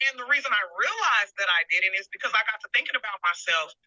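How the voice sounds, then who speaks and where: A woman's voice: a little high, expressive, fast talker, bright, forceful tone, dry recording, highs cut off. A woman in her forties reflecting in a confessional, self-recorded monologue. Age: 40s.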